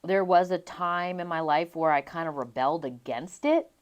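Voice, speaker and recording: A young adult woman from Las Vegas, a singer being interviewed, telling her stories in an animated way and laughing often. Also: lowish voice, moderate pace. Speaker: guest singer. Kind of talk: casual interview chat.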